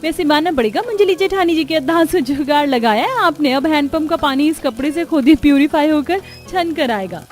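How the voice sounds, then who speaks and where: A woman's voice: high voice, a little fast, few pauses, soft background music, pitched above the performer's own voice, lightly acted. A woman voicing an exasperated, practical young village daughter-in-law in a cartoon story, complaining emphatically. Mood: urgent, animated. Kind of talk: Hindi cartoon story acting.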